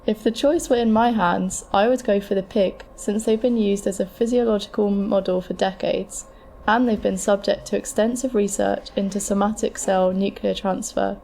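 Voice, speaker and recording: A woman plays a calm medical scientist in an audio drama. Her voice is mellow and mid-pitched with plenty of vocal fry. She explains things in a measured, matter-of-fact way. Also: a little hiss or hum, lightly acted, low-quality recording. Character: frank, calm futuristic transplant scientist advising a patient. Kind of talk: scripted explanatory dialogue in an amateur audio drama.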